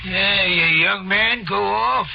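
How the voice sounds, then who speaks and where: An actor playing a plain-spoken carousel worker in a 1940s radio drama, with folksy speech. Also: male voice, high voice, slow, vocal fry, faint music behind, heavily acted. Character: gruff older male carousel hand. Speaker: carousel operator. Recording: muffled 1940s radio broadcast.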